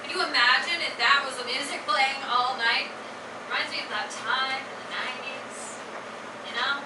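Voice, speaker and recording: A woman playing a bossy, sarcastic, impatient figure running a high school dance in a staged musical comedy, theatrical and snappy. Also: steady tone, moderate pace, natural voice. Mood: scornful, sarcastic. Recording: roomy, very noisy distant live stage recording.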